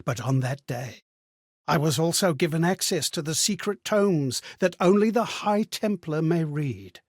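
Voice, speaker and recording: Husky, haunted former holy knight. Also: male voice, on the high side, expressive, a little slow, no room sound, studio quality. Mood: grave. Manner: solemn, archaic, ponderous phrasing. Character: haunted, amnesiac former Templar, wistful ghostly man. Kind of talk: dramatic video game character monologue.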